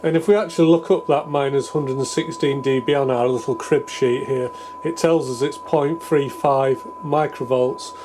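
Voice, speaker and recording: Male hobbyist presenter, mid-pitched, improvised technical walkthrough, tentative and thinking out loud, audible hum and noise in the recording. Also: steady tone, normal speed. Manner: thinking aloud, tentative, self-deprecating. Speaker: hobbyist radio repair presenter. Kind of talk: casual, improvised technical demonstration. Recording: clear background noise with hum.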